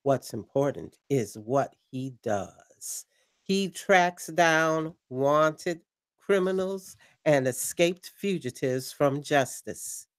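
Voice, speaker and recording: Man acting a slow-talking late-night radio host. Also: fairly high pitch, lively intonation. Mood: dramatic build-up. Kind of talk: audio drama acting as radio presenter.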